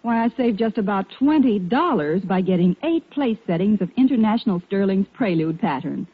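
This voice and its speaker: An actress playing an enthusiastic, satisfied shopper in a 1940s radio commercial. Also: female voice, mid-range voice, lively intonation, normal speed, husky. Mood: pleased, eager. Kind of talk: 1940s radio advertising dialogue. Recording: tinny, lo-fi 1940s radio recording.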